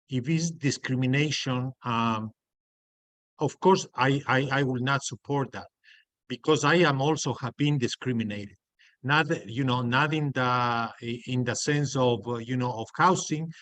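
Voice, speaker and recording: A man from Ecuador, answering as a candidate on a video call, halting and repeating his words. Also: mid-range voice, fairly bright tone, dry recording. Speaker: political candidate.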